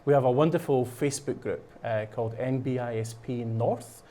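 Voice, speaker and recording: A man's voice: medium pitch, moderate pace, dark tone, slight background noise. Scottish man chatting with many fillers. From Scotland. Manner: rambling, many fillers and restarts. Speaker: guest. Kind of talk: casual chat. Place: studio while painting.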